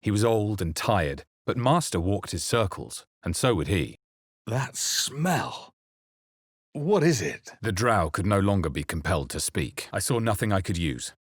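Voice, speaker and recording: A grave, guarded male adventurer from a fantasy video game. His voice sits very low and has a husky grain. He delivers each line in a measured, brooding way, recorded close and very dry. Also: big pitch swings, no echo, exaggerated voice. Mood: startled, questioning. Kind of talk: dramatic video game voice lines and first-person narration.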